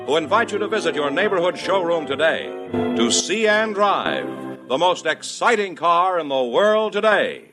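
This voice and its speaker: A man's voice: on the high side, sing-song, normal speed. A man announcing sponsor messages and sign-offs in the style of 1950s radio. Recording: 1950s radio broadcast with music.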